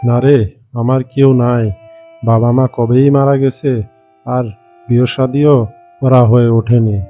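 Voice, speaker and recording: A man's voice: medium pitch, little pitch movement, a little slow, mellow, close to an everyday voice. Kindly lonely village farmer, music behind man acting. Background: background music.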